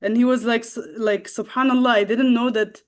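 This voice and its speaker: A young woman from the Netherlands, a guest telling a personal story in a halting way, with many fillers and restarts. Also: fairly high pitch, steady tone, a little mellow. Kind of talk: casual storytelling.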